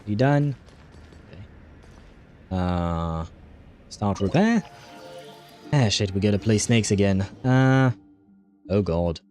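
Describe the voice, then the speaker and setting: A man's voice: fairly low pitch, expressive, slow, long pauses, a little mellow, faint music behind. A man giving casual livestream commentary as he plays a game, muttering to himself and swearing now and then. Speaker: livestream host.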